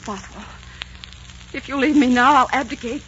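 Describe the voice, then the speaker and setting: A woman's voice: sits high, normal speed, a bit bright. Lovesick young queen, fervent, desperate, vintage radio romance, muffled noisy sound. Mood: hurt, insistent. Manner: melodramatic, imploring, fervent. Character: passionate, desperate young Queen of Scots in love. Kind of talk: 1940s radio romance acting. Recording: dull, noisy 1940s radio recording.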